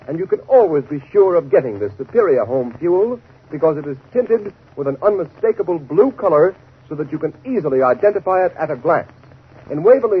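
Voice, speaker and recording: A tinny, narrow 1930s radio recording carries an American male announcer reading a commercial. His voice is very rough and swoops widely as he pitches emphatically. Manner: emphatic, salesmanlike.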